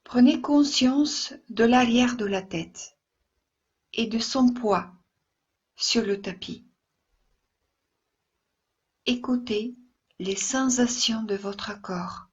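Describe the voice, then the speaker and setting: A slightly high-voiced woman leads a guided relaxation as a yoga instructor. She speaks very slowly and gently, leaving long pauses, with a soft, breathy tone. The recording is a little dull and muffled.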